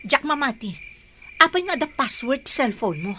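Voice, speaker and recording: A woman's voice: high-pitched, big pitch swings, normal speed, sharp tone, slight background noise, full character voice. An actress playing a suspicious, neglected wife and mother in a radio drama, with a plaintive, pressing delivery.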